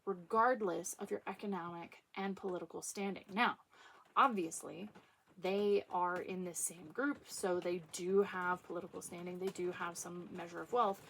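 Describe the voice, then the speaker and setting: A woman giving casual, opinionated commentary on literature in an emphatic way, with dramatic pauses. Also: lowish voice, fairly even intonation, fairly bright tone, a little hiss or hum, rough recording.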